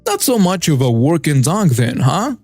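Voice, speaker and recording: An entitled mother speaks in a raised, sugary-sarcastic voice that talks down to everyone, as a male narrator puts her on. The recording is close and dry, with soft music beneath. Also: on the high side, very animated, moderate pace, slightly rough, dry recording, full character voice. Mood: condescending. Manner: condescending, sarcastic. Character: entitled mother, condescending and indignant.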